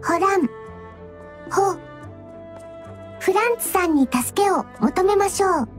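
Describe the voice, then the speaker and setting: A woman voicing a small, dutiful robot child in a Japanese anime game, announcing in a formal, measured manner. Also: extremely high pitch, animated, soft background music, heavily acted. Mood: helpful.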